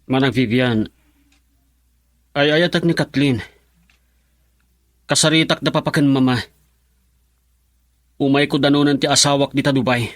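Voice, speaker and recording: A man's voice: medium pitch, steady tone, a little slow, long pauses, lightly acted. A man playing an earnest, troubled young husband in a radio drama. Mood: pleading.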